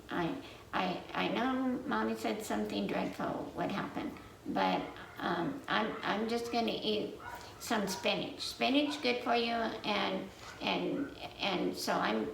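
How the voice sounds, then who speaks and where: A woman's voice: mid-range voice, unhurried, gravelly. A woman voicing a hungry young boy ghost in a children's story, pleading and justifying himself. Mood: tempted, self-justifying. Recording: roomy, distant mic with faint noise.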